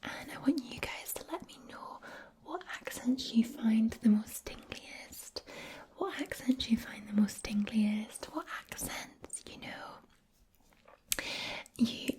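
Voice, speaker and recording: Woman from Scotland, whispered ASMR, high-pitched, mellow, hushed, unhurried. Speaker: ASMR video creator and host. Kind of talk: whispered ASMR accent demonstration.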